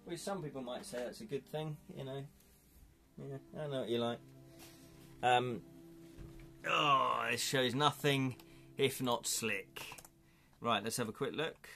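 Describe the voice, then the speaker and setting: Chatty excitable Englishman streaming live. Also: mid-range voice, a little slow, vocal fry, pauses often, faint music behind. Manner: chatty and excitable, chuckles often. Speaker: livestream host. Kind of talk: casual live-stream chat while unboxing.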